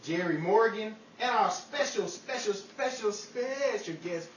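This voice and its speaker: A man's voice: high voice, lively intonation, unhurried. A man hosting an old-time radio-style show, delivering scripted sign-offs. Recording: roomy, distant mic, faint noise.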